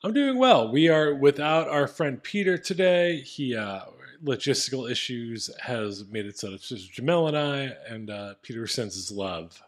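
Man, deep voice, laid-back podcast co-host, reads listener reviews. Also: animated, normal speed, few pauses. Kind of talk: casual comedic chat.

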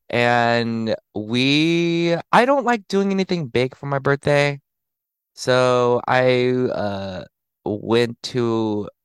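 A man in his late twenties hosting a podcast in casual chat, animated and giggly, his voice slightly raspy today. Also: medium pitch, no room sound. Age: 20s. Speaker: podcast host.

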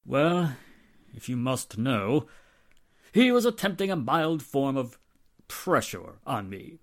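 An actor playing a nervous, prissy, evasive murder suspect in a 1940s-style radio drama, flustered and theatrically precise. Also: male voice, medium pitch, sing-song, slow pace. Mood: defensive.

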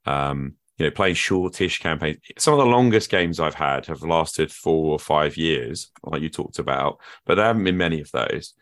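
A man's voice: rumbling low, moderate pace, hardly any pauses, fairly bright tone. A man hosting a podcast interview, musing in an earnest, reflective way. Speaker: podcast host. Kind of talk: conversational interview.

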